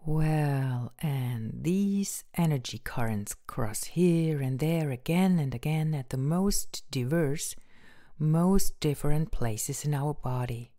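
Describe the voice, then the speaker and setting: A woman introducing a guided meditation as host, speaking in a gentle, breathy, unhurried way with long pauses. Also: sits low.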